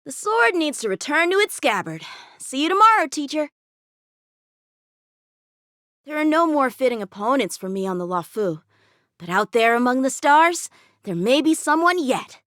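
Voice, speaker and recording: A woman's voice: high-pitched, big pitch swings, normal speed, fairly bright tone, dry recording, studio quality. A woman voicing an eager, confident teenage boy swordsman in a video game, with an earnest, cocky, youthful manner. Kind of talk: video game character voice lines.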